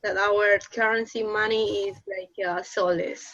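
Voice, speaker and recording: Brief: female trainee teacher from Peru, slow and hesitant, dull lo-fi line. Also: medium pitch, fairly even intonation, a bit bright. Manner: hesitant, self-correcting. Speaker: student English teacher. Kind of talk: casual class discussion. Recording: dull lo-fi audio.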